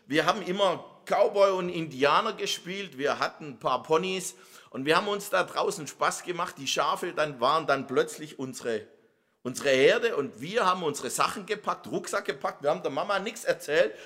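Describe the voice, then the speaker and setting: A middle-aged Swabian preacher delivers his sermon at an unhurried, deliberate pace. His voice sits fairly high for a man and rings bright and forceful. He presses his points home with emphatic rhetorical questions.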